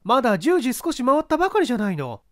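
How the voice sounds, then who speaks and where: Man voicing a high-pitched, coquettish young actress. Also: huge pitch swings, fast talker, no echo, clean studio sound, full character voice. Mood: excited. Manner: coquettish, feminine phrasing.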